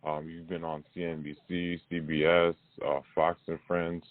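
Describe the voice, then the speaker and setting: A deep-voiced man hosts a casual interview over thin, phone-like audio. His delivery is halting and hesitant, with words often repeated. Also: flat delivery, a little hiss or hum. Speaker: interviewer and host. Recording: dull phone-like call audio.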